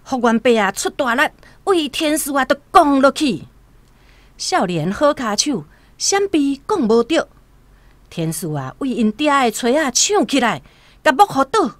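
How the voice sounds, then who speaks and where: A woman with a Taiwanese accent narrating a literary radio drama as an expressive storyteller. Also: high-pitched, a little slow.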